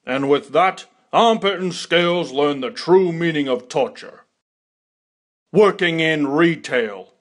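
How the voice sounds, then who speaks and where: A man's voice: on the high side, lively intonation, slow pace. A man acting as a theatrical, wry storybook narrator for a cartoon game quest. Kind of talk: dramatic scripted cartoon narration.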